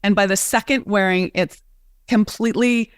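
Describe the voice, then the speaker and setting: A woman's voice: mid-range voice, fairly even intonation, moderate pace, clean tone, no room sound, clean studio sound. A woman hosting a conversational interview as a coach, chatty and full of fillers as she asks her questions. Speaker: host and coach.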